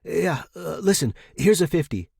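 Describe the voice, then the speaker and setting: A tense male office worker from an audio story, acted out by a male narrator. He is panicked, defensive and foul-mouthed, snapping in a raised pitch with clean, dry sound. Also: lively intonation, normal speed, gravelly, darkish tone, breathy edge, no room sound, exaggerated voice. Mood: nervous, urgent. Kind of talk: audio fiction character dialogue.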